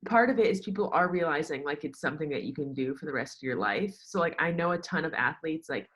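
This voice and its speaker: A woman's voice: deep voice, expressive, fast talker. A young American woman chatting casually as an interview guest, sprinkling "like" through her sentences and often acting out what people said. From United States. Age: young adult. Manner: chatty, peppered with "like", quotes herself and others. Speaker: interview guest, former college golfer in sports media. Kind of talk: casual chat, personal anecdotes.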